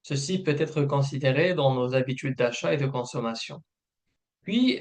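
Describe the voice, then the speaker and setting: A man presenting a scripted informational webinar in a formal, hesitant manner with frequent filled pauses. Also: a little high. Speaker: program manager presenting a webinar.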